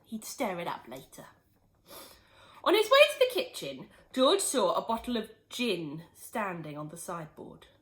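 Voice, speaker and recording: A woman teacher reading a children's book aloud in an expressive, animated way. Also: fairly low pitch, a little slow. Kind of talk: storybook read-aloud.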